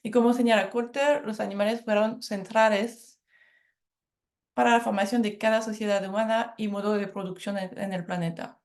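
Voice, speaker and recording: A French woman speaking Spanish with a French accent, giving a measured academic presentation and correcting herself on harder words. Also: mid-range voice, fairly even intonation, darkish tone. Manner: measured, careful, with self-corrections on hard words. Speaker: lecturer.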